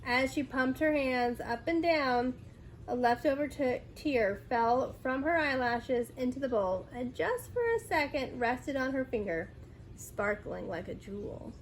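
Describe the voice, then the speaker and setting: A woman with a high voice reads a children's story aloud at an unhurried pace. Her delivery is expressive and dramatic, with faint noise behind her. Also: rough recording. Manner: dramatic, acts out dialogue.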